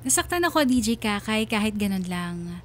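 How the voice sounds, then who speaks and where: Woman acting as wounded storyteller, medium pitch, sorrowful, reflective first-person narration, radio drama, faint music. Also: big pitch swings, normal speed, lightly acted. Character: wounded woman telling her own painful story. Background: background music.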